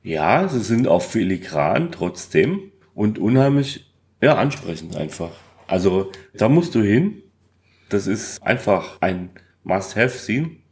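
A man co-hosting a podcast, talking casually and colloquially about food and travel, with halting pauses. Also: lowish voice, big pitch swings, a little mellow. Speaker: podcast co-host.

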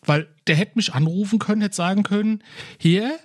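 A man's voice: high voice, moderate pace, dry recording, studio quality. A man co-hosting a podcast, chatting casually and telling jokey stories in a mock-serious way. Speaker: podcast co-host. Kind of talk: casual comedic chat.

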